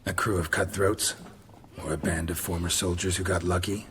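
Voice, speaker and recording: A man's voice: deep voice, little pitch movement, moderate pace, a bit bright, slight background noise. A man playing a stoic, wry monster hunter in a video game, terse and dry in delivery. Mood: dry, unhurried. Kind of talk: video game cutscene acting.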